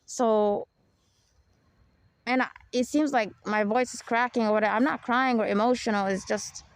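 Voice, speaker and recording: A young adult woman hosting a personal podcast, with a low, deep voice and a rambling, confessional way of talking, full of "you know" and soft laughs. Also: fairly even intonation, normal speed. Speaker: podcast host.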